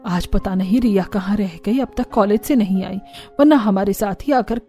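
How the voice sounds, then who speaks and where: A stern mother-in-law from a cartoon story , voiced by a woman. She speaks in a measured, questioning way with a mellow tone, and faint music plays behind her. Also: medium pitch, lightly acted. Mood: concerned. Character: stern, suspicious mother-in-law, middle-aged or older woman. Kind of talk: Hindi cartoon story character voice.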